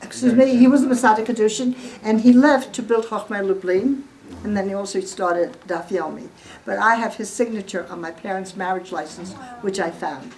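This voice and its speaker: A woman's voice: medium pitch, fairly even intonation, normal speed, darkish tone, a little hiss or hum, low-quality recording. An American woman in her eighties giving informal, emphatic spoken testimony to guests at home, often putting questions to her listeners. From born in Poland, raised in the United States. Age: 80s. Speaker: Holocaust survivor giving testimony. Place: at home, room with gathered guests.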